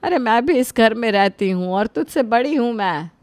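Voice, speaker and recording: A woman's voice: a little high, lively intonation, normal speed, dry recording, lightly acted. A woman playing a complaining old mother-in-law. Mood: hurt. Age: older. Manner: wounded, scolding, sighing to God. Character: aging mother-in-law, put-upon and complaining. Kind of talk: Hindi family drama voice acting.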